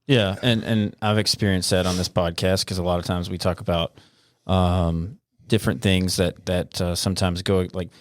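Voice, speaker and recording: A man's voice: sits low, little pitch movement, moderate pace, no room sound, clean studio sound. A man co-hosting a podcast, chatting informally and a little hesitantly. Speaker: podcast co-host. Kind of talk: casual podcast chat.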